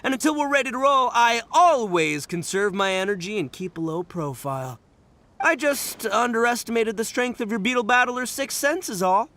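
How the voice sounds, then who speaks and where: A man's voice: extremely high pitch, animated, normal speed, sharp tone, heavily acted. A man playing a brash, goofy young gang boss in a video game, boastful and theatrical. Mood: flustered. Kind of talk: video game character acting. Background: music.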